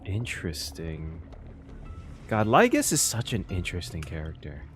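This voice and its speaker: Excitable male game reactor, animated pitch, faint music behind. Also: moderate pace. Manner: excitable, chatty, swears. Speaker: reaction streamer. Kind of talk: casual game reaction commentary.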